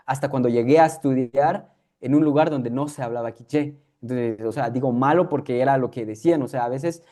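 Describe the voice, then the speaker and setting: A man's voice: mid-range voice, a little fast, warm tone. A young man from Guatemala, a native K'iche' speaker whose Spanish carries a K'iche' accent, speaking reflectively as an interview guest with frequent fillers and restarts. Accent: K'iche'-influenced Guatemalan Spanish. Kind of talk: conversational interview.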